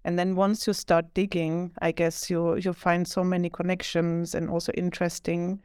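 A woman's voice: lowish voice, flat delivery, normal speed, darkish tone, smooth, no room sound, clean studio sound. A woman academic answering interview questions about her work in a reflective, explanatory, conversational way. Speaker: academic author, interview guest.

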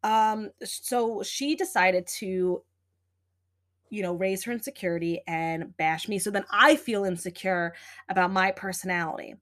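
A young adult woman hosting a solo podcast, talking in a loud, animated, emphatic way with plenty of fillers. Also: medium pitch, a little slow. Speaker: podcast host. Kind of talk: casual solo podcast talk.

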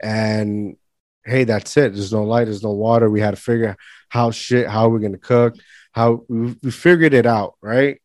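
A man's voice: lowish voice, steady tone, normal speed, a little mellow, no room sound, clean studio sound. A man in his thirties from Puerto Rico chatting informally, repeating words as he goes. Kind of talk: casual conversation.

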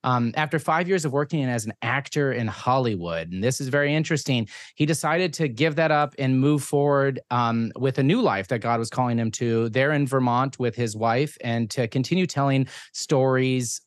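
Male podcast host, mid-pitched, conversational and a bit rambling, recorded dry. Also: moderate pace, hardly any pauses, dry recording. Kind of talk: conversational interview introduction.

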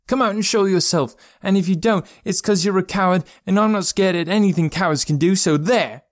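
A man's voice: on the high side, big pitch swings, fairly quick, mellow, dry recording, clean studio sound, voice pushed up. A man voicing a miserable young boy in an audiobook, plaintive and blurting, scared yet defiant. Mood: defiant, upset. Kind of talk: audiobook character voice.

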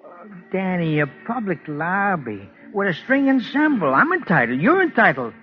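An actor playing a nervous, shifty small-time hustler in an old radio drama, with hesitant, wheedling, mock-genteel patter. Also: male voice, high voice, very animated, normal speed. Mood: evasive patter. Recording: muffled vintage radio recording, faint music.

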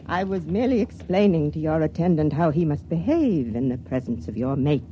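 An actress playing a secretive, tender older matron in an old-time radio drama, speaking in a deliberate, formal way. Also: female voice, low voice, expressive, lo-fi sound. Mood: furtive, urgent. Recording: noisy vintage radio recording.

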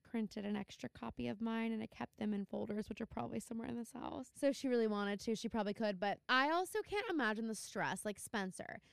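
A young woman co-hosting a podcast, chatting casually about a TV episode, animated, laughing often and swearing freely. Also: fairly high pitch, quick pace, gravelly, few pauses, dry recording, clean studio sound. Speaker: podcast co-host. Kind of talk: casual chat recapping a TV episode.

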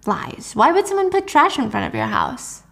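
A woman's voice: sits high, very animated, moderate pace, vocal fry, a bit bright, full character voice. A woman voicing a nosy, eager, stubborn female neighbor in a drama recap, inquisitive and bargaining. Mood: prying.

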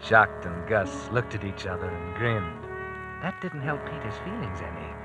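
A man's voice: on the low side, lively intonation, moderate pace, poor audio. A man narrating a hard-boiled old-time radio drama in scripted, storytelling style. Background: dramatic music.

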